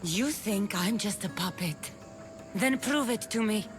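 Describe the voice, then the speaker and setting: Actress as menacing, bitter warrior woman, animated pitch. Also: a little slow, close to an everyday voice. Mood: cold, challenging. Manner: taunting, cutting, dramatic delivery. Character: fierce young female cult-raised warrior, bitter, menacing, contemptuous. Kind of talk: cinematic video game acting. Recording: music under the voice.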